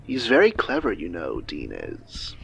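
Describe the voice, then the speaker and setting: A man plays a venomous audio drama villain with a creaky voice and slow delivery. He taunts and threatens, swinging his pitch theatrically. Music plays softly behind him. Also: wildly swooping pitch, exaggerated voice. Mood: taunting menace. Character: menacing, taunting male villain hostage-taker on the phone.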